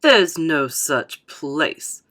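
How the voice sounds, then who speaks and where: A woman's voice: on the low side, very animated, normal speed, sharp tone, slightly rough, full character voice. A woman voicing an indignant, know-it-all middle-aged mother in a storybook, haughty and emphatic.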